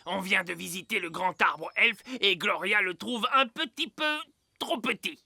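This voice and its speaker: A bashful little gnome. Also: male voice, high voice, measured pace, creaky, bright, forceful tone, slightly rough, dry recording, studio quality, heavily acted. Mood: polite. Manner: stammering, fussy, earnest. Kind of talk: French cartoon dub.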